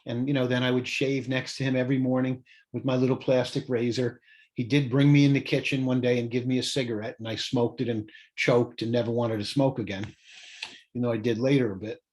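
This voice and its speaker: A man's voice: mid-range voice, little pitch movement, brisk, a little mellow. A man in his late fifties telling personal stories as a guest on a video call, acting out bits of dialogue. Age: late 50s. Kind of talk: anecdotal interview storytelling on a video call. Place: small indoor home office.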